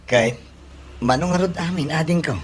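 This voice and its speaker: A man's voice: fairly high pitch, moderate pace, natural voice. A man with a Filipino accent playing a protective, generous older brother in a radio comedy drama. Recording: clear background ambience and noise.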